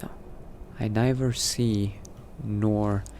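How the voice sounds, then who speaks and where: Man acting as newly turned male vampire, low gravelly voice, cautious, hushed muttering, game roleplay, noisy recording. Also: steady tone, drawn-out words. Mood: cautious, hurried. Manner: hushed muttering, often dropping to a whisper. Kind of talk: in-character roleplay narration, thinking aloud. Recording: noticeable background noise.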